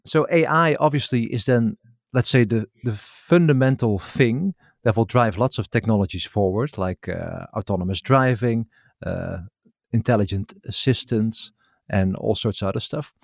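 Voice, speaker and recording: A man with a Dutch accent, a native Dutch speaker, hosting a casual chat in a thoughtful, hesitant way with many "uh" pauses. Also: on the low side, creaky, dry recording, studio quality. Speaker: podcast host. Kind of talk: casual conversation.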